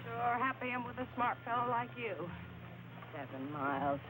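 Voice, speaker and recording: A woman's voice: fairly high pitch, lively intonation, normal speed, over music, lightly acted, poor audio. An actress with an American accent playing a skeptical young woman in a dry, sarcastic 1950s film noir style.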